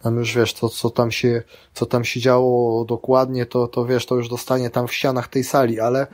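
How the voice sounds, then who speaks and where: Middle-aged guy chatting loosely, lots of restarts and fillers, mid-pitched voice. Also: completely flat delivery, normal speed, a little mellow. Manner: rambling, many restarts and fillers. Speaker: guest, grappling athlete and coach. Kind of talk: casual interview chat.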